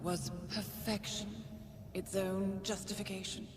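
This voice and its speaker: Menacing game woman actress, taunting, drawn-out expressive delivery, echoey, under loud rumbling noise. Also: lightly acted. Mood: cold mockery. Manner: deliberate, mocking, drawn out. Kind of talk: dramatic video game monologue.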